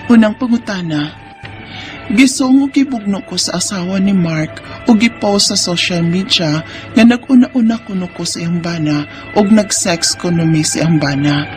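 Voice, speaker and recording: A woman's voice: mid-range voice, darkish tone, natural voice. A woman playing an aggrieved pregnant mother in a radio drama, earnestly and plaintively narrating her letter.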